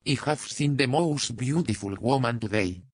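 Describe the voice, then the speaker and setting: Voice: mid-range voice, moderate pace, slightly rough, no echo, clean studio sound, voice pushed down, natural voice. A narrator voicing a wealthy, boisterous Spanish colonial nobleman, effusive and self-satisfied, in an audiobook. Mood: rapturous, admiring.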